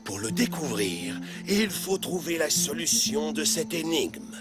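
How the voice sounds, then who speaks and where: A man voicing a kindly, patient village storyteller in a French children's cartoon, with an expressive, measured delivery. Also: fairly high pitch. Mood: storytelling. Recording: music behind the voice.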